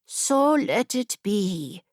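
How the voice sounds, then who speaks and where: Regal unicorn leader in a fable woman narrator performing, solemn, stately, slow delivery. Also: mid-range voice, big pitch swings, darkish tone, breathy edge, no echo, studio quality, full character voice. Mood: solemn proclamation. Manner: stately, proclaiming.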